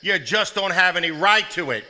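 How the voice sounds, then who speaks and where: An actor in a live stage play playing a protective, authoritative head of household with a folksy manner. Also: male voice, sits high, animated, moderate pace, pushed and bright, full character voice. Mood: stern. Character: protective, stern but tender head of household.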